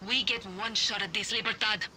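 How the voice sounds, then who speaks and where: A woman's voice: mid-range voice, fairly even intonation, brisk, slight background noise. An actress playing a commanding, foul-mouthed female guerrilla leader in a video game. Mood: determined. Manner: commanding, profane. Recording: loud music behind. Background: loud music.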